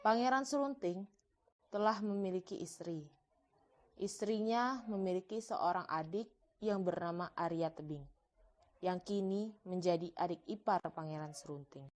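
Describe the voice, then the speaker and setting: A woman's voice: on the low side, measured pace, darkish tone. A woman narrator reading a scripted folktale for a cartoon in a storytelling style.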